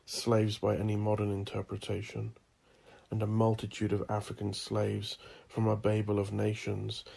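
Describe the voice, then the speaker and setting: A man narrating a dark audio drama in a low, gravelly voice with plenty of vocal fry. His tone stays flat and husky as he delivers each line slowly and ominously.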